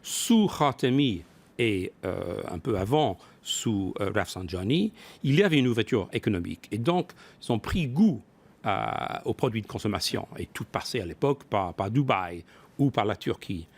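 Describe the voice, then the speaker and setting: A man speaking as an expert guest in a broadcast business interview, often pausing to rephrase and repeat his words. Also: fairly low pitch, expressive, creaky, slightly rough. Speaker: business expert guest, former company executive.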